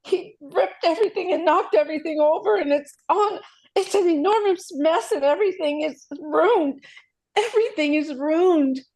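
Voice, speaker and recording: A woman playing a desperate, frightened mother in a screenplay table read, pleading and repeating herself in halting phrases. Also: extremely high pitch, a little mellow, poor audio. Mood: distraught. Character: desperate, frightened mother obeying her child's kidnapper.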